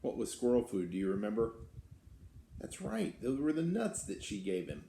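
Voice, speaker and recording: A man's voice: lowish voice, very animated, normal speed, gravelly, mellow, faint music behind. A man hosting a children's story time, reading aloud expressively and adding simple explanations and questions for young listeners. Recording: roomy echo, some background noise.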